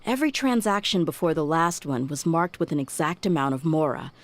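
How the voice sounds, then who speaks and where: Low-pitched, blunt desert mercenary woman. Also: lively intonation, a little fast. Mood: puzzled. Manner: blunt, self-assured. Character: tough, frank young female desert mercenary. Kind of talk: anime game voice acting.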